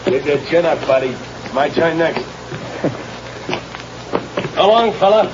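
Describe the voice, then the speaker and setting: A plucky convict pal in an old radio drama. His high voice moves slowly with lively emphasis and slangy encouragement. The recording is muffled and noisy. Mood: bracing farewell. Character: plucky male convict buddy, gruffly encouraging. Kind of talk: 1930s-40s radio drama acting.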